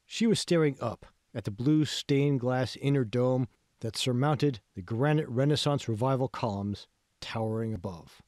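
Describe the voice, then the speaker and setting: A man's voice: medium pitch, moderate pace, a little mellow, no echo. Man narrating an audiobook, dry studio sound. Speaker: author reading own audiobook. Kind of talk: scripted narration, audiobook reading.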